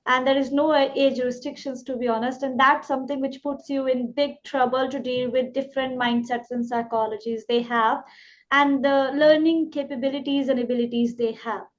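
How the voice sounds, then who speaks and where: Indian English woman host, high pitch, muffled lo-fi sound, eager long-winded questioner. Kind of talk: interview questions. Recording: dull, lo-fi line.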